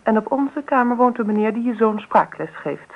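A woman's voice: a little high, expressive, normal speed, phone-quality sound. A woman acting a composed, assertive wife and mother in a Dutch radio drama, speaking firmly and directly in a matter-of-fact way. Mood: cool, firm.

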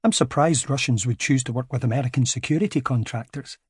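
A man's voice: mid-range voice, fast talker, breathy edge, no room sound, studio quality. A man voicing a mild, dryly ironic Scottish man in his thirties, with a Scottish accent. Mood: polite. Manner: polite, understated, dryly ironic.

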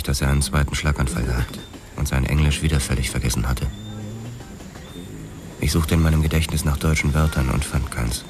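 A man's voice: rumbling low, gravelly. An actor playing a weary, hard-boiled policeman who narrates his own past in a crime radio drama. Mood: grim, restrained. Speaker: first-person narrator. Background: music.

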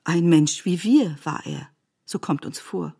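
A female narrator with a fairly low voice reads literary fiction. She is measured and articulate, carrying long sentences with care. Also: vocal fry, dark tone, husky.

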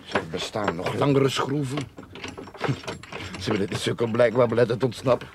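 An actor playing a bossy, irritable grave robber in a radio play, barking sarcastic orders and cursing. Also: male voice, medium pitch, normal speed, noisy background, natural voice. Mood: irritated. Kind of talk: Dutch-language radio play acting.

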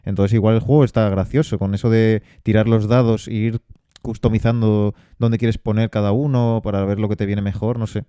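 A man with a Spanish accent from Spain, co-hosting a casual board game podcast and talking things through in a relaxed way, with fillers along the way. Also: on the low side, fairly quick, smooth, no echo, clean studio sound. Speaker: podcast co-host.

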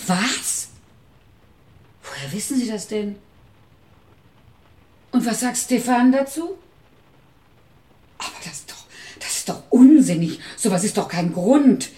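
A woman's voice: on the high side, animated, slow, pauses often, warm tone. An actress playing a chatty, easily dismayed woman carer in a radio drama, exclaiming and fretful but deferential. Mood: taken aback.

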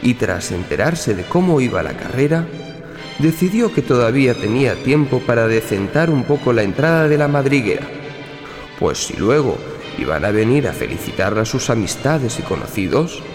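Male storybook narrator, scripted read, mid-pitched, reverberant sound over soft music. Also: sing-song, normal speed. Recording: echoing narration with long reverb, faint music.

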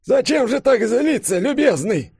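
A man's voice: very high-pitched, big pitch swings, unhurried, a little mellow, husky, no room sound, studio quality, pitched above the performer's own voice, full character voice. A man voicing a grumpy, querulous old professor with huffy, pompous speech in an audiobook. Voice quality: elderly, grumbling, puffing.

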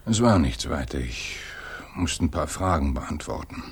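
An actor playing a troubled, probing middle-aged father in a radio drama, with muted, halting questions. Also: very animated, full character voice. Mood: guarded. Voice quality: husky, low. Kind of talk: 1980s German radio drama acting.

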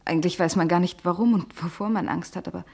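Husky, hushed anxious woman. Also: fairly low pitch, fast. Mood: anxious, brooding. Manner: hushed, hesitant, partly whispered. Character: anxious, hesitant woman gripped by vague fear. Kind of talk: German radio play acting.

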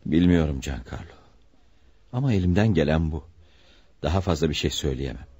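A respected, wealthy father under arrest in a radio drama. His deep voice is husky and expressive, grave and evasive, stubbornly guarding a secret. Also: a little fast, full character voice. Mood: grave, regretful. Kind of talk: radio theatre acting.

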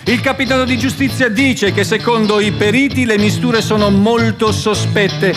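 An actor playing a stern narrator and interrogator of a historical trial, declamatory and ironic, in a theatrical radio play. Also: male voice, sits high. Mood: ironic narration.

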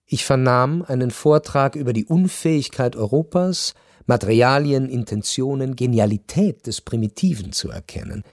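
A man narrating a first-person literary radio drama in a measured, reflective way. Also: mid-range voice, darkish tone, no echo, clean studio sound.

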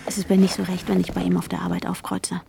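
An actress playing an anxious, determined woman in a radio drama, narrating intimately and urgently, often dropping to a hush. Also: lowish voice, big pitch swings, quick pace, creaky, noisy background, exaggerated voice. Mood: tense, determined. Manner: urgent, often dropping into a hushed near-whisper. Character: anxious, determined young woman searching for her missing partner.

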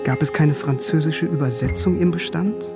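Radio drama lead: bookish, cheeky East German student, young, lighter-pitched, wry first-person delivery over music. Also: male voice, moderate pace, creaky. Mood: wry recollection.

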